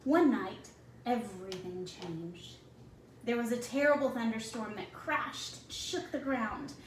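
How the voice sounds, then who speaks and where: A woman with a fairly high voice reads a children's story slowly and deliberately, breaking off to put questions to her young listeners. The recording is roomy and a little distant from the mic, with noticeable noise behind her. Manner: slow, deliberate phrasing for children. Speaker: teacher reading a picture book to children. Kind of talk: read-aloud storytelling with asides to young listeners.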